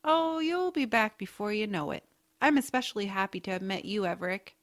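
Woman acting as kindly knowing aunt in a family audio drama, mid pitch, gentle and reassuring, measured talk. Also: animated, natural voice. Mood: welcoming. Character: kindly, adventurous, knowing aunt with a mysterious side.

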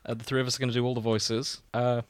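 A man hosts a podcast in a loose, comedic style. His voice sits at an average pitch and is recorded dry, with almost no room around it. He jokes easily and swears as he goes. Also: level tone, racing, clear, resonant tone, no echo. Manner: joking, sweary. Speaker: podcast host. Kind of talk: casual comedic chat.

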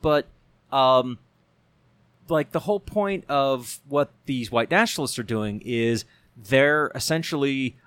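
Guy talking politics on a show, mid-pitched voice, trips over his words a lot, really dry close sound. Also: clean tone, no echo. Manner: animated, quick bursts with many restarts. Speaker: show regular and bookstore owner. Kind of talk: casual political discussion.

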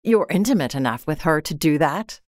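A woman's voice: low voice, animated, normal speed, no echo, studio quality. A woman voicing a patient, well-meaning clerk husband in a storybook reading, plain-spoken and coaxing. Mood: urging.

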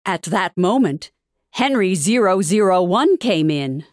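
A woman narrating a scripted audio drama like a storybook, with dramatic, deliberate delivery. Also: fairly low pitch, lively intonation, a little mellow, a little hiss or hum. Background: background music.

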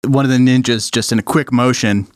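A man's voice: medium pitch, steady tone, brisk, no room sound, clean studio sound. A young man narrating a tabletop game as game master, casual and loose with fillers.

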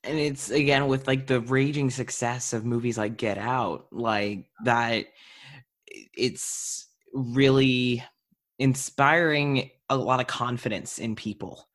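A man's voice: medium pitch, fairly even intonation, slow pace, fairly bright tone. A man hosting a podcast, talking in a casual conversational style. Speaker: podcast host.

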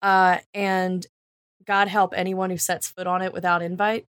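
Woman chatting casually, halting, dry close sound. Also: lowish voice, little pitch movement, fairly bright tone, clear, resonant tone, dry recording. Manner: halting, thinking aloud, colloquial. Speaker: podcast co-host. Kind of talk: casual unscripted podcast chat.

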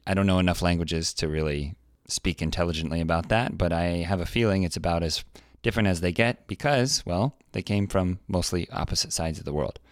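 A man co-hosting a podcast, musing out loud in a hesitant way with many restarts. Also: deep voice, clear, resonant tone, no room sound, studio quality. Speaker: podcast co-host. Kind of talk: casual podcast chat.

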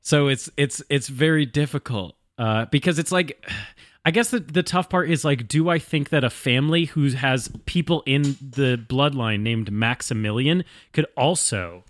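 Male panelist, mid-pitched, rambling comic chat, thinking aloud, recorded close and dry. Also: moderate pace, no echo. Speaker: podcast panelist.

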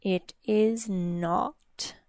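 A woman doing a slow, hissing little demon. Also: fairly even intonation, dry recording, clean studio sound. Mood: menacing. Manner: slow, low and quiet, drawn-out menace. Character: hissing sly male imp demon, menacing.

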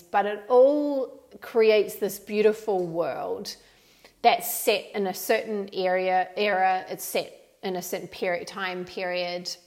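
A woman with a mixed New Zealand and Australian accent, hosting a solo podcast and talking in an animated, emphatic way. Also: lowish voice. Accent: New Zealand with some Australian. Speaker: podcast host.

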